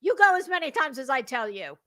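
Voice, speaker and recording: A woman's voice: high-pitched, very animated, fairly quick, a bit bright. A woman playing an imperious fashion magazine boss, haughty, bossy and emphatic. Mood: imperious, demanding.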